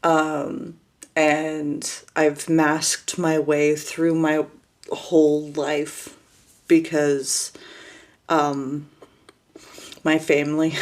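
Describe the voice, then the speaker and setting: A woman with a low, slow voice speaks in a steady monotone that rarely rises or falls. She hesitates often, with fillers and restarts, as she casually introduces herself to her viewers. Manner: monotone, flat delivery.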